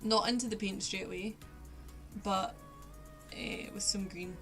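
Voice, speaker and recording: A woman's voice: mid-range voice, fairly even intonation, a little slow. A young woman with a posh Glaswegian Scottish accent, chatting casually with her live-stream viewers while she paints, rambling and poking fun at herself. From Scotland. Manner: chatty, self-mocking, rambling asides to chat. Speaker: art streamer. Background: faint lo-fi music.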